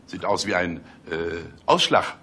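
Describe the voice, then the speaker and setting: A man's voice: sits low, lively intonation, a little slow, sharp tone, full character voice. A man acting in a German radio play as a smug, teasing middle-aged police chief, condescending and mock-solemn. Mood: mock concern.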